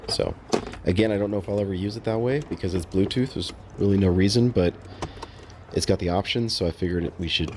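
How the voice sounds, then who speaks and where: Very deep-voiced man narrating a DIY install. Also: fairly quick, noisy background. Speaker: host of a DIY install vlog. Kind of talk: casual how-to narration over footage.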